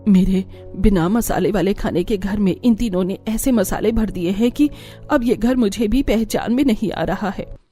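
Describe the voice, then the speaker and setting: A woman's voice: medium pitch, moderate pace, dark tone, soft background music, lightly acted. A woman voicing a humble, affectionate older mother-in-law in a cartoon family story. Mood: moved.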